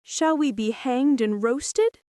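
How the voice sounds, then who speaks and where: A woman's voice: high-pitched, slow pace, mellow, clear, resonant tone, dry recording, studio quality. A woman voicing a frightened, reluctant young stork in a storybook, childlike and questioning. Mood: anxious. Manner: anxious, childlike questioning and protesting.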